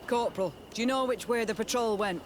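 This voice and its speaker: An actor playing a formal, commanding army captain in a video game. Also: male voice, high voice, fast, slight background noise, lightly acted. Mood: formal, concerned.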